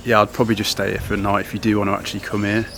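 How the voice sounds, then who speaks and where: Young man, travel vlog, outdoor camera audio, clear street noise. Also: fairly low pitch, level tone, rapid-fire, a bit bright. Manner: chatty, filler-heavy, loose and rambling. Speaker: travel vlogger. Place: outdoors in towns and on the road.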